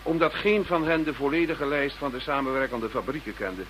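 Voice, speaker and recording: A man's voice: medium pitch, a little fast, fairly bright tone, close to an everyday voice, poor audio. A man acting a Dutch cabinet minister in a radio drama, formal and cooperative. He speaks earnestly and carefully in a mid-range voice, with faint hum behind.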